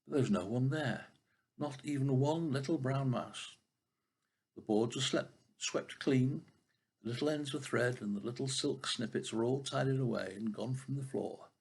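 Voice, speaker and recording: An older man reading a children's story expressively, with a husky voice and the odd stumble over a word. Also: medium pitch, moderate pace, a little mellow. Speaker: storybook reader.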